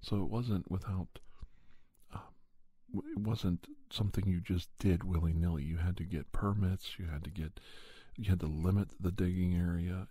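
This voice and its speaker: A soft-spoken man telling a first-person story in an ASMR style, often dropping toward a whisper. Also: low-pitched, slightly rough, no echo. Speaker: storyteller and podcast host.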